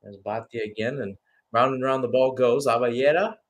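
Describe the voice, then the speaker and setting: A man's voice: mid-range voice, brisk. A man giving live radio-style play-by-play commentary on a football match, announcer-like and speaking in short phrases.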